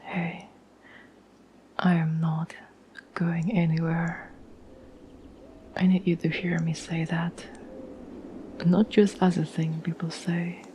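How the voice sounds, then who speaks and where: A woman playing a tender, devoted girlfriend in a whispered ASMR roleplay, speaking softly and gently with earnest, halting reassurance. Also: on the low side, a little hiss or hum, poor audio. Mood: earnest, reassuring. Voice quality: soft, breathy whisper.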